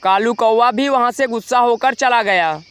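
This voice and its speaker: Male narrator, very high pitch, smooth clear tone, scripted children's story reading. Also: moderate pace, fairly bright tone.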